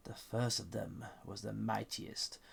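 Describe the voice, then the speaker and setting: A man playing a lonely, flirty banished villain, an ancient living weapon, in an intimate audio roleplay with a breathy, gravelly voice and dramatic pauses. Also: on the low side, level tone, slight background noise, full character voice. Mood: brooding. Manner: hesitant, soft-spoken, intimate, dramatic pauses.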